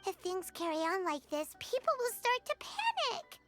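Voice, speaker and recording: A woman voicing a tiny floating female fairy companion who is chirpy, excitable and talks about herself in the third person. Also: very high voice, normal speed, full character voice. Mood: puzzled. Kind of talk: game cutscene dialogue. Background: game soundtrack music.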